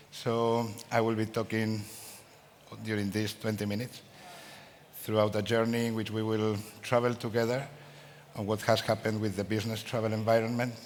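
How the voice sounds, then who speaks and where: A native Spanish speaker from Spain opens a conference talk in English with friendly jokes. His voice sits low. There is a faint wash of room noise behind him. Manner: friendly, joking opener. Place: large conference hall.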